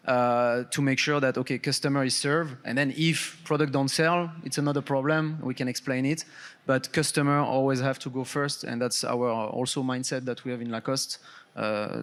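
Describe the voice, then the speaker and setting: A man's voice: medium pitch, fairly bright tone. French-accented man on a panel. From France. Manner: hesitant, many fillers. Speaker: panelist, supply chain director. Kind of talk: conference panel talk. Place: conference stage.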